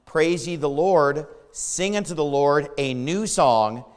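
A man's voice: fairly high pitch, slow, clean tone, big-room sound. A man preaching a sermon as pastor, his voice worn from days of talking and singing, speaking emphatically and asking rhetorical questions. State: strained voice from overuse.

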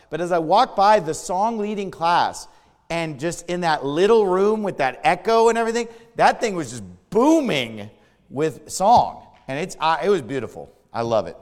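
A man's voice: fairly high pitch, big-room sound. A man preaching a sermon as pastor, his voice worn from days of talking and singing, speaking emphatically and asking rhetorical questions. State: strained voice from overuse.

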